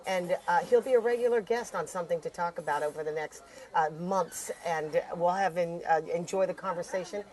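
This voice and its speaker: A woman's voice: lowish voice, a little fast, a little mellow. A woman hosting a talk show, asking probing questions and finishing her guest's sentences. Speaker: host.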